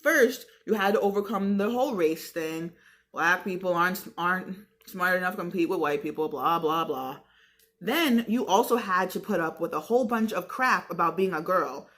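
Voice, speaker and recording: A woman's voice: on the low side, animated, moderate pace, a bit bright. An American woman reading a book aloud like a teacher, with casual explanatory asides spoken directly to her listeners in a conversational, chatty way. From New York state, United States.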